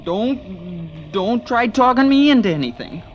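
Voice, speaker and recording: An actress playing a tough, sardonic ex-wife who runs a diner, tart and snappy, in 1940s radio style. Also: female voice, mid-range voice, lively intonation, a little slow, darkish tone, slight background noise, close to an everyday voice. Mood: grudgingly relenting. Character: tough, sardonic diner-owning ex-wife, still soft underneath.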